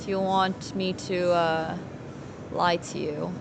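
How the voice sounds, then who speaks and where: Woman chatting over clear rumbling noise. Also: fairly even intonation, slow pace. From Finland. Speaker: live streamer. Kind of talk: casual chat while playing poker, answering viewers.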